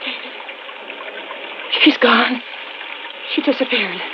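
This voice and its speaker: An actress playing a frightened, hysterical wife in a 1940s-style radio thriller. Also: female voice, fairly high pitch, takes it slowly, lo-fi sound. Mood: anxious. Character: terrified, hysterical wife trapped in a car at night. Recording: noisy old radio drama recording.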